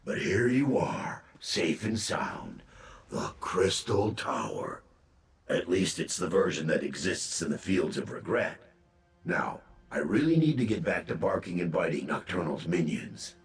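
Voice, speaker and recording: A man's voice: deep voice, big pitch swings, a little slow, gravelly, full character voice. An actor playing a cocky, grumbling demon dog in a fantasy game, brash, wry and blunt in the way he talks. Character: cocky, grumbling male demon hound serving a trickster master. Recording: echoing, faint game ambience noise.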